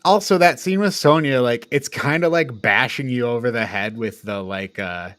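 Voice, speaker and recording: A man's voice: medium pitch, moderate pace, a bit bright, no echo. A man hosting a casual movie review chat, talking in an agreeable, informal way with some casual swearing. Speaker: podcast host.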